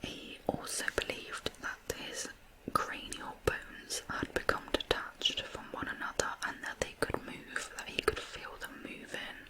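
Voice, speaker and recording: A woman hosting ASMR true crime, narrating in a soft, breathy whisper with a measured manner. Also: rough recording.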